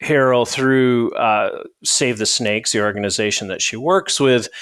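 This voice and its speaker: A man hosting a podcast interview, talking in a relaxed, chatty way with many fillers and restarts. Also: mid-range voice, unhurried, clean tone, dry recording. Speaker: podcast host. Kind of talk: casual interview.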